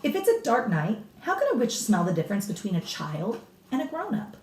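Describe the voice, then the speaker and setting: A woman's voice: medium pitch, huge pitch swings, brisk, a little mellow, voice pushed up. Lady doing a little kid asking questions, eager and earnest, voice jumps around loads, roomy echo. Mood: doubtful, earnest. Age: child. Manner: inquisitive, earnest. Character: curious, credulous young grandchild, eager and questioning. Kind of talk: storybook read-aloud character. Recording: roomy echo, distant microphone.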